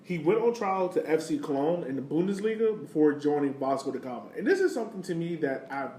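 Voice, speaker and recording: A man's voice: on the high side, animated, fast, mellow. A man of thirty hosting a podcast, talking sport in a casual, slangy and enthusiastic way. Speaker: podcast host. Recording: roomy, distant mic, faint noise.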